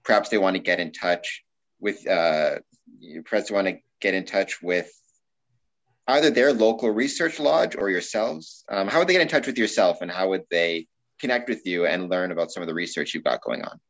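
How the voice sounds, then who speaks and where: A man with a low voice hosts an interview podcast. He talks conversationally and feels his way through long, rambling questions with some hesitation. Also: normal speed, fairly bright tone, lo-fi sound. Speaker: podcast host interviewing guests.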